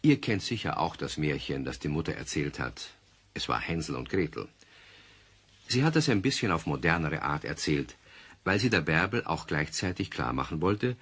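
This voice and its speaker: A man narrating a German children's radio play as a confiding storyteller, with a husky voice and scripted delivery. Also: low-pitched, fairly bright tone, full character voice.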